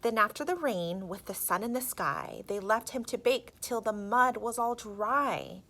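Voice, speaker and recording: A woman narrating a children's storybook in an expressive, theatrical and emphatic way. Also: fairly high pitch, measured pace.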